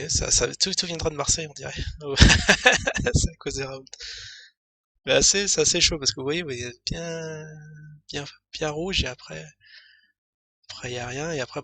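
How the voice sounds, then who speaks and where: A man's voice: medium pitch, moderate pace, creaky, sharp tone. A man streaming, reading a news article aloud and rambling through it with lots of asides. He has a slight head cold and his throat is starting to go. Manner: rambling, thinks aloud with many fillers and asides.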